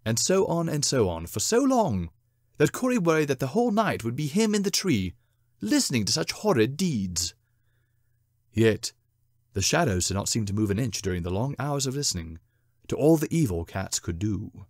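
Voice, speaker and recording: A man reading a story as narrator, with dramatic, deliberate, theatrical phrasing. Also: mid-range voice, very animated, a little mellow, dry recording, studio quality. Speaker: narrator, short story reader.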